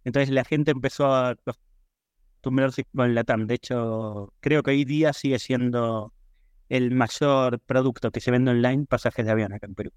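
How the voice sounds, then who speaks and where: A man with an Argentine Spanish accent answers as a podcast guest in a conversational, measured, explanatory way. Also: medium pitch, steady tone, darkish tone, clear, resonant tone, no echo. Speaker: podcast guest, e-commerce professional.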